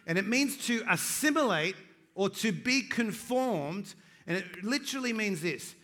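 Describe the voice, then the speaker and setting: A male pastor delivering a sermon in a slightly higher-than-average voice. He swings between loud, driving declarations and quieter, self-deprecating asides to the congregation. He slows right down to lean on the key phrases.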